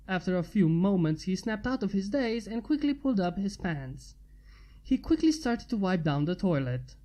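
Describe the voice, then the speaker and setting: A woman's voice: medium pitch, warm tone. A woman reading a scripted story as its narrator. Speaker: fan fiction narrator.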